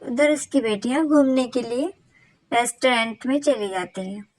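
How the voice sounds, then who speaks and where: A woman reading scripted storybook narration for an animated cartoon. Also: on the high side, moderate pace, dry recording, low-quality recording.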